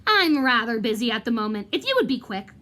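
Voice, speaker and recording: A woman's voice: fairly high pitch, sing-song, brisk, bright, forceful tone. A woman voicing a vain, cowardly male wizard professor with a pompous, hesitant manner in a storybook reading. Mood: flustered, evasive. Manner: pompous, hesitant, self-important.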